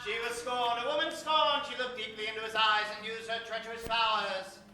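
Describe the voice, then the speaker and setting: Very high-pitched theatrical male radio narrator. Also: fairly even intonation, a little fast, noisy background. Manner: theatrical, suspense-building, repeats words for effect. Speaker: narrator and announcer. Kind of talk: improvised old-time radio narration. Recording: echoey stage from far off, music behind.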